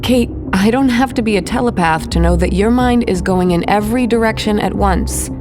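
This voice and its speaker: A woman playing a telepath, speaking quietly and earnestly with steady focus. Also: mid-range voice, normal speed, over music, lightly acted. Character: woman telepath, guilt-ridden but focused. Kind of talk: fan audio drama acting.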